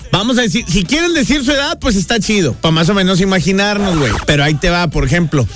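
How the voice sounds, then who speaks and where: High-pitched northern Mexican man hosting radio. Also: lively intonation, fast talker, fairly bright tone. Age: 30s. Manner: slangy, exuberant, repeats phrases for emphasis. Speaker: radio host. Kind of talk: lively casual radio show talk. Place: radio studio. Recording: radio broadcast with music underneath.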